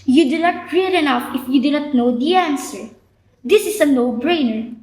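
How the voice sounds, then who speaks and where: A woman's voice: high voice, measured pace, darkish tone, roomy sound, rough recording. A woman playing a strict, intimidating law professor who grills her students with clipped questions. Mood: stern.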